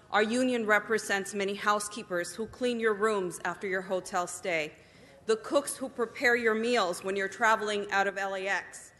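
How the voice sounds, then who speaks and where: A woman from Nicaragua speaks at a council podium in an earnest, formal way. Her voice sits at a middle pitch. A long reverb trails her words through the big chamber. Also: fairly bright tone. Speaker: union co-president giving public comment. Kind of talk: prepared public comment speech. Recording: long hall reverb from public microphone.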